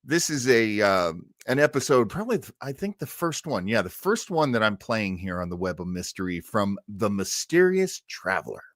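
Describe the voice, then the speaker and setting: Animated man hosting a livestream. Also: lowish voice, normal speed, few pauses, no room sound, studio quality. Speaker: host of an old-time radio livestream. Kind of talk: casual livestream introduction, chatty.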